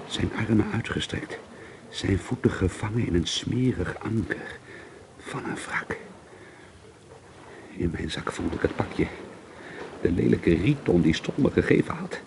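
An actor playing a brooding, secretive alcoholic man who narrates a radio drama in a measured, dramatic way. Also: sits low, vocal fry, noisy background, heavily acted. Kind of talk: radio drama acting with first-person narration.

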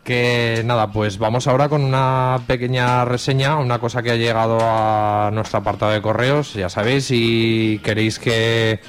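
A man's voice: mid-range voice, steady tone, moderate pace, few pauses, a little hiss or hum. A man with an accent from Spain, hosting a radio show in a casual, unscripted, colloquial way. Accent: Spanish from Spain. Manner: informal, colloquial. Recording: music playing behind the voice. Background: background music.

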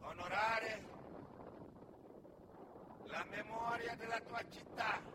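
A man from Senegal, an actor and storyteller, performing a poetic theatrical monologue in a solemn, declamatory manner with dramatic pauses. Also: sits high, fairly even intonation, background noise.

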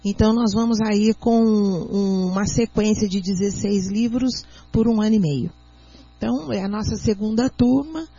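A Brazilian woman speaking as a doctor and guest on a radio talk show, explaining in an earnest, teacherly way and sometimes reading aloud slowly. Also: medium pitch, warm tone, a little hiss or hum. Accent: Brazilian Portuguese. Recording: muffled lo-fi radio audio.

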